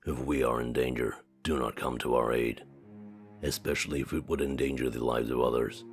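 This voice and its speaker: A man's voice: extremely low pitch, fairly even intonation, fairly quick, faint music behind, voice pushed down. A man voicing a grave, weary fantasy warrior with solemn, terse delivery, reading a visual novel aloud. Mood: grim, resigned. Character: grave, weary, very deep-voiced fantasy soldier.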